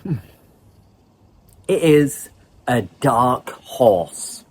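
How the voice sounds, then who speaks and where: Lively man teaching English vocabulary. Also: mid-range voice, very slow, breathy edge. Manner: lively explanatory. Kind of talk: online vocabulary lesson.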